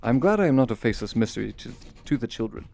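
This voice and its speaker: Victorian gentleman brother, medium-pitched, formal, courteous, affectionate, articulate letter reading, radio drama. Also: animated, gravelly. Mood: fond, amused. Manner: formal, measured, articulate period diction.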